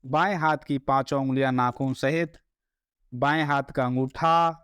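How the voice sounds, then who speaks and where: Slow, smooth-voiced man guiding meditation. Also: medium pitch, fairly even intonation, a bit bright, dry recording. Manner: soothing, deliberate, measured instructions. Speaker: yoga nidra meditation instructor. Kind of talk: guided meditation instruction.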